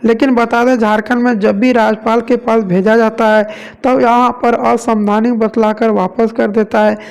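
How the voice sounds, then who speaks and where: A man's voice: extremely high pitch, little pitch movement, normal speed, gravelly, clear, resonant tone. A man from India narrating news reports as a presenter, leaning on repeated stock phrases.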